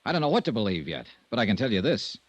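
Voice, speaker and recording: An actor playing a dogged, wry insurance investigator in old radio noir, direct and skeptical. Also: male voice, fairly low pitch, big pitch swings, fast, dry recording, highs cut off. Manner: hard-boiled, direct, skeptical. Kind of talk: 1950s radio noir acting and narration.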